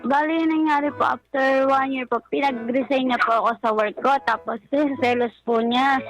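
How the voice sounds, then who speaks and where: A woman's voice: sits high, fairly even intonation, moderate pace, poor audio. A woman calling in to a radio advice show, telling her personal story in a polite, deferential way. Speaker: caller seeking relationship advice. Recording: music playing behind the voice. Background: background music.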